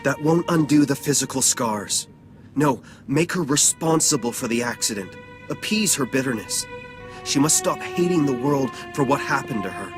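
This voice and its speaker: An actor playing a conspiratorial, directive resistance leader in a video game, with theatrical delivery. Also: male voice, mid-range voice, lively intonation, moderate pace, natural voice. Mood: grave, persuasive. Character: urgent, conspiratorial male resistance leader guiding an agent. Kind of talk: dramatic video game cutscene acting. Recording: music behind the voice.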